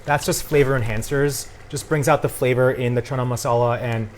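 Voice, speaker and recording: A man's voice: mid-range voice, steady tone, brisk, slight background noise. An upbeat, chatty man explaining food casually as a guest cooking demonstrator. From Punjabi Indian family.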